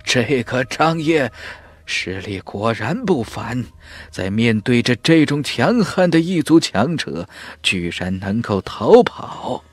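A man's voice: medium pitch, sing-song, slow, no room sound. Man playing an impressed, exclaiming commander. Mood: amazed. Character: astonished male commander of a human temple.

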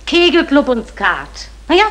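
A woman's voice: high voice, sing-song, a little slow, fairly bright tone, heavily acted. An actress playing a meddling, matter-of-fact middle-aged mother in a vintage German crime radio drama. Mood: brisk, knowing. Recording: vintage radio drama, clicks, faint noise.

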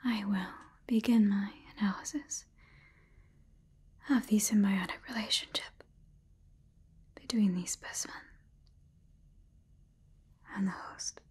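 A woman in her twenties playing a calm scientist, dictating haltingly in a breathy whisper in an ASMR roleplay. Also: medium pitch. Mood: clinical, hushed. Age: 20s. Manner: slow, halting, clinical dictation. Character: calm, clinical female scientist examining an alien-infected patient.

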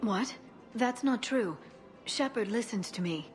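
An actress playing a prim, earnest alien information broker, precise and easily exasperated, in a video game. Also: female voice, fairly high pitch, animated, a little slow, over music. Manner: precise, indignant, reserved.

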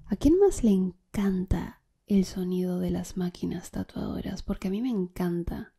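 A woman speaks to her viewers as channel host in a casual, soft ASMR style. Her voice is mellow and dark, with a husky, breathy edge. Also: on the low side, normal speed.

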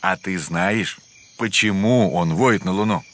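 A man's voice: deep voice, measured pace, fairly bright tone. A man voicing a sensible, bookish bear in a cartoon, explaining things with a mildly teasing manner. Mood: questioning. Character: sensible, bookish adult brown bear, male. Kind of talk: animated cartoon voice acting. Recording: music behind the voice.

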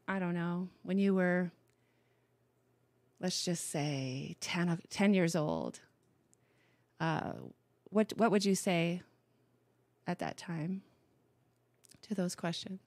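A woman in her late forties from Montana, hosting a radio talk show in an unhurried, friendly way, with many ums. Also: fairly low pitch, lively intonation, no room sound. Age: 40s. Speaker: host.

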